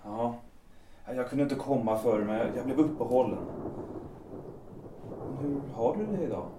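A young actor with a Swedish accent playing a hesitant, evasive lover who speaks softly in an audio drama. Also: male voice, mid-range voice, lively intonation, background noise, natural voice. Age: young adult. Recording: roomy, distant from the mic.

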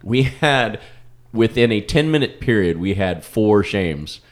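A man's voice: lowish voice, animated, a little slow. A man speaking casually as a podcast host in a group chat.

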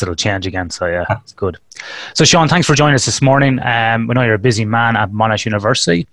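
A man's voice: mid-range voice, a bit bright, no room sound. A man hosting a conversational podcast interview. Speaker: podcast host.

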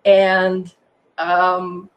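A Croatian-accented woman in her fifties tells her story as a guest. She is chatty and warm-hearted in her telling, laughing often and doubling back on her words. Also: on the low side, monotone, very slow, highs cut off. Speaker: guest, land surveyor. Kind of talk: casual interview storytelling.

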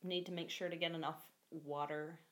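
A feminine-voiced guest talking candidly in a casual interview, chatty and quick to laugh. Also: female voice, low voice, flat delivery. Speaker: guest, costumed performer.

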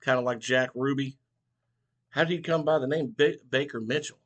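A man's voice: mid-range voice, normal speed, a bit bright, lo-fi sound, natural voice. A man voicing a wry, curious small-town male preacher. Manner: wry, put-on movie accent.

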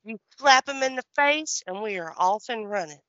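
A woman's voice: a little high, animated, normal speed, bright, forceful tone, dry recording, lo-fi sound. A woman playing a scheming, devoted wrestler's wife and manager in a comedic audio play, eager and persuasive.

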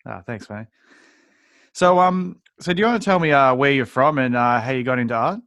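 A man's voice: medium pitch, brisk, a bit bright, dry recording. A man hosting a podcast, asking questions in a casual, conversational way. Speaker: podcast host. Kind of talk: casual interview chat.

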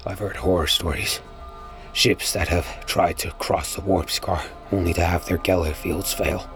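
Uneasy sci-fi pirate, audio drama, brooding, very low gravel voice, music behind. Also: male voice, steady tone, unhurried, a bit bright. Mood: pained, fearful. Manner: brooding first-person narration. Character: weary, uneasy male space pirate narrating his ordeal. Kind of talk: audio drama acting and narration.

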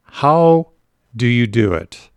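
A man's voice: medium pitch, very animated, takes it slowly, a little mellow, smooth, no room sound, dull audio. A man conducting a conversational interview, asking questions politely and a little hesitantly.

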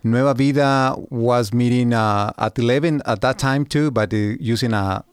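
Soft-spoken pastor, halting and dry. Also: male voice, medium pitch, smooth, dry recording. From Guatemala. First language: Spanish. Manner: halting, many "uh" pauses, short agreeing replies. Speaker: pastor of a Spanish-language service. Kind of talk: podcast interview guest.

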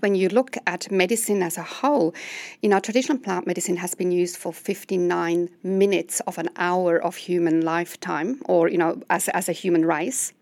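A middle-aged Swiss-accented woman talks steadily as a podcast guest, pitched a little low and unhurried. She lays out her points with frequent "you know"s. Her voice sounds close and dry, with no room around it. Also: darkish tone, no echo. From Switzerland. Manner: measured, explains with many "you know"s. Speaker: guest, medical herbalist. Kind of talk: explanatory podcast conversation.

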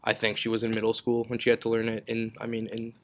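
A man's voice: fairly low pitch, monotone, fast talker. A young man from Israel whose first language is Hebrew, a podcast guest chatting casually and rambling with lots of fillers and restarts. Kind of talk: casual interview chat.

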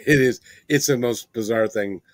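A man's voice: mid-range voice, normal speed, dry recording, studio quality. A man hosting an interview show, talking casually and conversationally as he puts questions to his guests.

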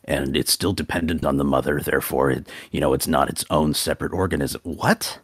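A man calling in to a talk show, with a very deep voice, speaking slowly and hesitantly with many fillers and dry, deadpan humor. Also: husky, no room sound. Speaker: caller. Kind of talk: casual call-in chat.

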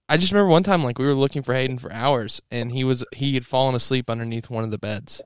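Smooth-voiced laid-back young man. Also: mid-range voice, brisk, no echo, studio quality. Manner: laid-back, joking, swears freely. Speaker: podcast host. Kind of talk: casual chat.

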